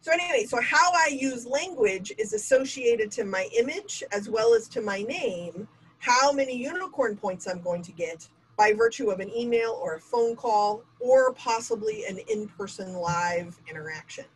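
A woman's voice: a little high, sing-song, moderate pace, slight background noise, rough recording. A woman in her forties leading a diversity webinar over a video call, talking candidly with self-mocking asides. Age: 40s. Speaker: diversity trainer. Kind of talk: webinar lecture. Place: home room with bookshelf and bokeh lights. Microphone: webcam video call.

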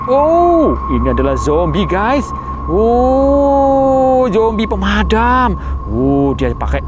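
High-pitched, exclamatory Indonesian man. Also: very noisy. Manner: exclamatory, theatrically amazed. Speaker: toy video host. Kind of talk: excited playful narration for children. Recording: music laid loudly over the voice. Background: loud music.

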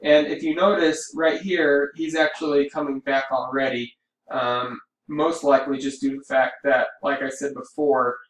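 Man in roomy, distant-mic tutorial, even tone. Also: medium pitch, normal speed. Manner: informal, explanatory, thinking aloud. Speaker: amateur radio operator giving a tutorial. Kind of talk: casual screen-recorded software walkthrough.

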